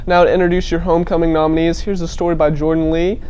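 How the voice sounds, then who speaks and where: A teenage boy reads school announcements from a script, talking straight to his listeners. His voice sits somewhat high for a man. Faint background noise runs under it. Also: little pitch movement, quick pace, a little mellow, lo-fi sound. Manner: addresses the school audience directly. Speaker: student news anchor.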